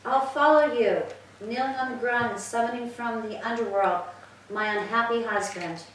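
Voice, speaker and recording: A woman's voice: on the high side, moderate pace, lightly acted. A woman playing a grieving widowed captive in the chorus of a staged Greek tragedy, solemn and declamatory. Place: church, live staged reading. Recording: echoey hall, distant mic, background noise.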